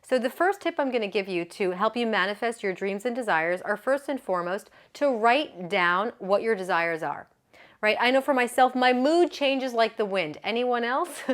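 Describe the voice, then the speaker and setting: A woman's voice: fairly high pitch, expressive, normal speed. A woman yoga business coach giving a motivational talk, chatty and earnest, often checking in with the listener and laughing easily.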